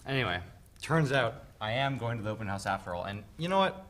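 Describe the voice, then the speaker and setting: A man's voice: medium pitch, expressive, quick pace, fairly bright tone, lightly acted. A man playing an awkward, easily embarrassed eighteen-year-old son in a dramatic play reading. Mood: relieved, frank.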